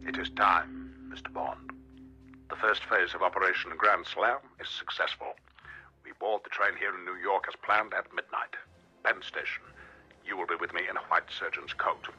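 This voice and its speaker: An actor playing a coldly formal criminal mastermind in a radio play, with a measured, imperious manner. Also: male voice, deep voice, fairly even intonation, sharp tone, dull audio, faint music behind. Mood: coldly commanding. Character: commanding, coldly formal criminal mastermind, middle-aged man. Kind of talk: radio drama acting.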